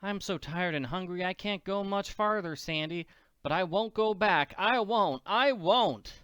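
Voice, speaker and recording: Plucky orphan girl, male reader voicing her; high, bright, clear. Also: measured pace, no echo, clean studio sound. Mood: weary. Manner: exclaiming, stubborn and cheery.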